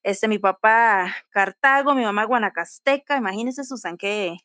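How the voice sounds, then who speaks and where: A woman's voice: mid-range voice, normal speed, a bit bright. A Costa Rican woman co-hosting a podcast, talking earnestly and casually with many fillers. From Costa Rica. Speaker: podcast co-host.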